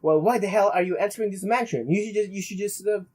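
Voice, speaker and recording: A young man doing a let's play, haltingly reading game text aloud with casual commentary. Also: high-pitched, darkish tone, breathy edge. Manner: halting, stumbles while reading.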